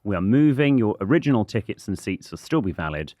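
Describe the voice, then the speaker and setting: A man co-hosting a casual podcast, his voice husky and raw while recovering from laryngitis, teasing and dry. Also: fairly low pitch, big pitch swings, darkish tone, no room sound. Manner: dry, teasing, quick with jokes. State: recovering from lost voice, raw throat. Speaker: podcast co-host. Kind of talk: casual chat.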